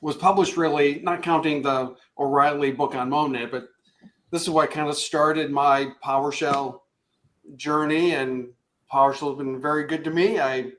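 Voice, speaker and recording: Man, somewhat high voice, conversational, grateful, video call. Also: steady tone, normal speed. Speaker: author, panel guest. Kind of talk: self-introduction on video call.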